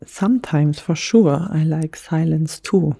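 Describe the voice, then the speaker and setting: A German woman, a native German speaker talking in English, whispering softly in a gentle ASMR chat. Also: low-pitched, vocal fry, slightly rough, no room sound. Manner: soft, slow, unhurried whisper with gentle pauses. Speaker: ASMR creator.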